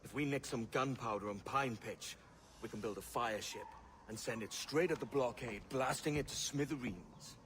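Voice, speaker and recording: A man's voice: medium pitch, normal speed, fairly bright tone, natural voice. A brash young pirate, voiced by a man, talks through his scheme with easy confidence in a mid-range voice. Faint ambient noise sits under the game cutscene. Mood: confident. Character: cocky young pirate captain, bold schemer.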